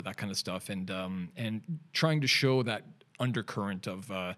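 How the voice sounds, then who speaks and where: A man in his forties with a Canadian accent, chatting loosely as a podcast guest in a studio, with lots of fillers and restarts. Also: fairly low pitch. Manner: rambling, laid-back, many fillers and restarts. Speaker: podcast guest, digital artist. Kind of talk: casual interview chat.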